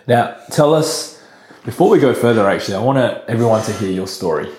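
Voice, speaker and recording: Croaky-voiced man hosting a podcast. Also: fairly low pitch, a little fast, roomy sound, a little hiss or hum. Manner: relaxed, chatty, laughs often. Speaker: podcast host. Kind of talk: casual podcast chat.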